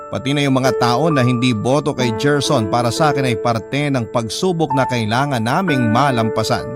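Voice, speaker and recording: A man's voice: mid-range voice, natural voice. A man with a Filipino accent acting as a reflective, remorseful lover, reading a first-person confession in a sentimental drama. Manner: earnest, sentimental. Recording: loud music bed under voice.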